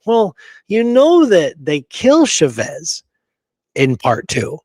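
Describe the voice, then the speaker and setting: A man's voice: sits high, huge pitch swings, takes it slowly, darkish tone, no room sound. A man co-hosting a history talk show, chatting casually and emphatically, often checking that his listener agrees. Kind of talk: casual chat about Western history and films.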